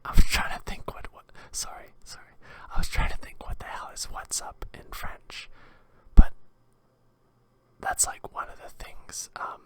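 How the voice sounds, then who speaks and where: An ASMR host from Quebec with a Canadian accent, rambling in a halting, hesitant whisper.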